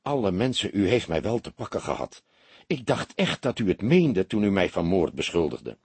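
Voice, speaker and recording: A small, proper gentleman read by a male narrator, polite and slightly timid. The voice is husky with lively pitch, recorded dry. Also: moderate pace, no room sound, full character voice. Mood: relieved.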